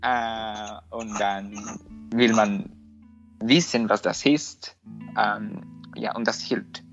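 A man with a Spanish accent talks slowly, pausing and restarting as he searches for words. The recording is dull and lo-fi, with faint guitar music underneath. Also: on the low side, steady tone, fairly bright tone. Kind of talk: casual conversation.

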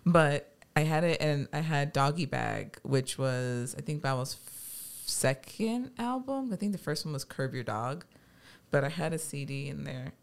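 A woman in her thirties from the United States, co-hosting a casual podcast and telling stories in lively, slangy chat. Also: low-pitched, a little slow, vocal fry. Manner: animated, chatty storyteller with casual slang. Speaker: podcast co-host.

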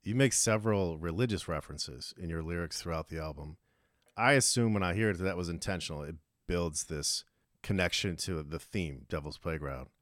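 A male host with a very low voice asks his guest questions in a measured, thoughtful way. The recording is dry and close. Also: a bit bright, no room sound. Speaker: podcast host. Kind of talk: interview questions.

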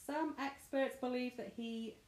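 A woman's voice: sits high, steady tone, a little slow, darkish tone. British woman, young, chatty host who laughs often, recorded in a roomy space. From Britain. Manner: giggly, chatty, self-mocking. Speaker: podcast host. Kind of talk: casual true crime chat. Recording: echoey room.

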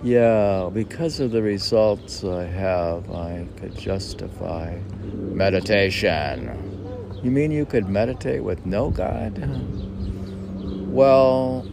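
A man's voice: lowish voice, takes it slowly. A man reading his own verse and rambling in spontaneous commentary, musing aloud and answering his own questions. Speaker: poet and podcast host. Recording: clearly noisy background.

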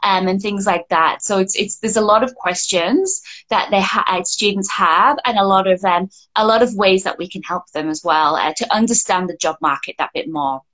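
A young adult woman, chatting casually as an employment coach, full of fillers and quick restarts. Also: medium pitch, a bit bright. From Scotland. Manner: chatty, frequent fillers and restarts, laughs easily. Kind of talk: casual podcast chat.

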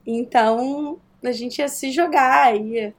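A woman from Rio de Janeiro with a Carioca accent, a guest chatting casually in an interview, animated and quick to laugh. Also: high voice. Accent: Carioca Portuguese. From Rio de Janeiro, Brazil. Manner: animated storyteller, laughs often.

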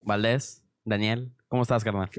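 A young man with a Mexican Spanish accent hosting a casual podcast, playful and slangy, firing off quick follow-up questions. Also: fairly low pitch, fairly even intonation, a little hiss or hum. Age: young adult. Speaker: podcast host.

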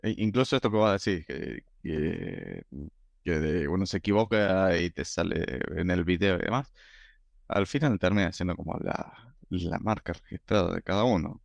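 Male panelist, deep voice with heavy fry, casual discursive talk full of question tags. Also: expressive, normal speed, no echo, dull audio. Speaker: podcast panelist.

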